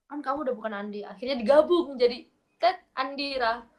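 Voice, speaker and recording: A woman's voice: high-pitched, fairly quick, slight background noise. A young woman with a mixed Makassar accent, chatting and telling family stories on a video call, laughing easily. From Makassar, Indonesia. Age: young adult. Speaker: video chat stranger. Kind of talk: casual chat.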